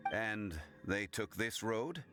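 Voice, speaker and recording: An actor playing a composed, scholarly gentleman in a video game, speaking in formal, measured phrases. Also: on the low side, expressive. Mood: thoughtful. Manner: formal, measured, deliberate wording. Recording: game music behind.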